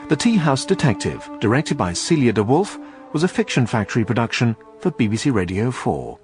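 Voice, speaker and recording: A man's voice: medium pitch, sing-song, brisk, darkish tone. A man announcing in a scripted radio-credits style. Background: music.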